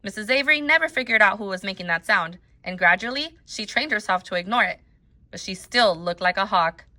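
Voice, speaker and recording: A woman reading a children's book aloud as a teacher, in an expressive storytelling style with deliberate emphasis. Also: medium pitch.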